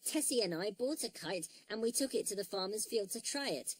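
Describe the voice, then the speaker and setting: A woman voicing an eager, excitable little toy boy in a storybook reading, chirpy and earnest. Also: mid-range voice, a little fast, no echo, voice pushed up, natural voice. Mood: earnest explaining.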